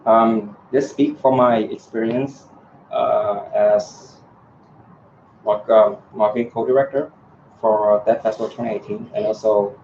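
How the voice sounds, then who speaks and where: A man's voice: lowish voice, fairly even intonation, a little slow, darkish tone, a little hiss or hum. A man answering candidate questions at a student election forum, hesitant and full of ums and restarts. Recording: echoey room, dull lo-fi sound.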